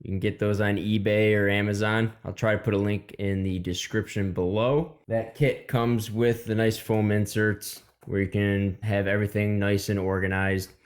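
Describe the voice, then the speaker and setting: A man's voice: lowish voice, level tone, moderate pace, few pauses. A young man hosting his own channel, walking through a homemade emergency radio kit in a plain, matter-of-fact way. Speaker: host. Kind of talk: casual gear walkthrough.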